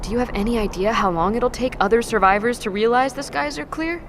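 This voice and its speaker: A hopeful, wryly teasing woman survivor, played by an actress in an audio drama. Her voice is high, and there is clear vehicle noise behind her. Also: fast, close to an everyday voice. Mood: wry, practical.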